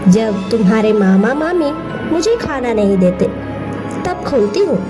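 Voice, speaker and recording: A soft-spoken old grandmother who keeps a loving secret, as a woman narrator puts her on. The voice is fairly high and lively in pitch, with music playing clearly underneath. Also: measured pace. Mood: tender. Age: older.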